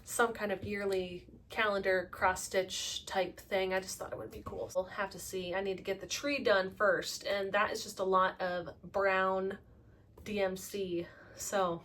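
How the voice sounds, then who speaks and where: A woman in her late twenties chatting casually about her crafting projects in a relaxed monthly update, with the odd self-deprecating joke. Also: medium pitch, measured pace, distant mic. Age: late 20s. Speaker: crafting vlogger.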